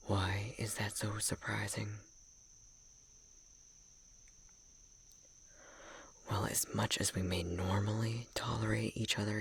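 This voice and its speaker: Man acting as haughty tsundere elf scholar, ASMR roleplay, low pitch, creaky edge, curt and exasperated. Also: a bit bright. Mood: hushed, irritated. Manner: curt, haughty, exasperated, often dropping into whispers. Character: prickly tsundere male elf academic, grudgingly caring rival.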